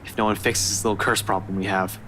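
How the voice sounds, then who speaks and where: A woman's voice: very deep voice, flat delivery, fast, fairly bright tone, slight background noise, pitched below the performer's own voice. A woman doing a blunt male cop. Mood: firm. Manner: blunt, commanding. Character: stern young male police detective.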